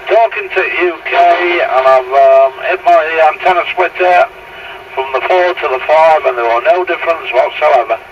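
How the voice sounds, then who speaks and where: A man chatting casually over a CB radio transmission. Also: a little high. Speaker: CB radio contact. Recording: dull, distant, very noisy CB radio. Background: loud radio noise.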